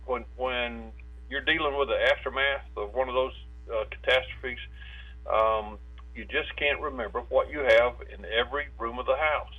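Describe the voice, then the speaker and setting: An American man speaks over a thin, tinny telephone line with a mid-range voice. He gives practical advice as an interview guest, in a halting way, often doubling back on his words. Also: medium pitch, fairly even intonation. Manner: halting, repeats words and stumbles mid-phrase. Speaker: state insurance director, interviewed guest. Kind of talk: phone interview giving preparedness advice. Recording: tinny narrow phone line with hum.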